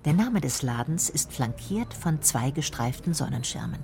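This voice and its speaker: A woman's voice: deep voice, expressive, normal speed, vocal fry, mellow, a little hiss or hum. A woman reading scripted radio documentary narration and translations as a voice-over.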